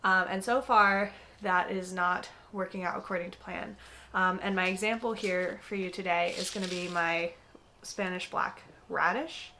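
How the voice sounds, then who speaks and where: A woman's voice: lowish voice, steady tone, moderate pace, bright, forceful tone, slight background noise. Slightly congested young woman, chatty vlog. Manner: chatty, frequent "um" and "like", conversational. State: congested from a cold. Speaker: gardening vlogger. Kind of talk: casual explanatory vlog. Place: indoors, with some segments recorded outdoors in a garden.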